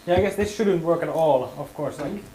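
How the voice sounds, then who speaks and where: A man's voice: fairly high pitch, a little fast, mellow, echoey room, a little hiss or hum, low-quality recording. A man leading a hands-on workshop tutorial, talking casually and hedging with many fillers. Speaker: workshop instructor.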